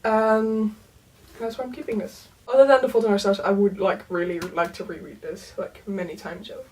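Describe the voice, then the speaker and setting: A young woman whose first language is Dutch, chatting casually in a book vlog, full of "um" and "like" and soft laughs. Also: medium pitch, steady tone, moderate pace, distant mic, rough recording. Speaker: book vlogger. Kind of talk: casual chat.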